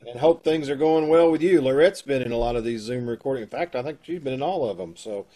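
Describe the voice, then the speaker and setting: A man's voice: medium pitch, fast talker, mellow, poor audio. A middle-aged man chatting casually as host of an amateur troupe, introducing people over a video call. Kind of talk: casual chat, introducing cast and show. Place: indoor room at home, video call. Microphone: video call microphone.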